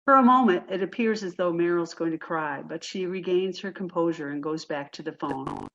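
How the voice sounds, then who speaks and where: A woman's voice: fairly low pitch, moderate pace, a bit bright, lo-fi sound. A woman reading stage directions as the narrator of a play, in scripted narration.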